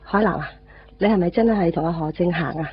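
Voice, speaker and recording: A woman's voice: lowish voice, quick pace, slightly rough. An actress playing a practical middle-aged mother in a radio drama, chatty and down-to-earth with a Hong Kong Cantonese accent. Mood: motherly, probing. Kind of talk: casual radio drama dialogue. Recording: slightly muffled.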